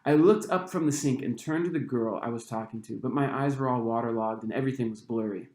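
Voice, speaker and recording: A man's voice: medium pitch, fast talker. Man reading his novel in an echoey room. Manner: expressive storytelling, acting out the dialogue. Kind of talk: expressive read-aloud of a young adult novel.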